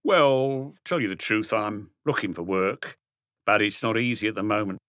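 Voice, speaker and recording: A man's voice: fairly low pitch, moderate pace, a bit bright, smooth, no room sound, studio quality, close to an everyday voice. A man voicing a shifty thirty-five-year-old small-time crook, defensive and evasive, in an audiobook mystery. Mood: evasive, indignant.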